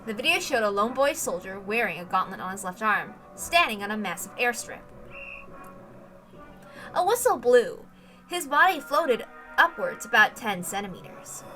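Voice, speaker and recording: A woman's voice: a little high, sing-song, normal speed, sharp tone, faint music behind, low-quality recording. A woman reading a story aloud as dramatic scripted narration, with emphatic, theatrical delivery. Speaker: reader of a visual novel.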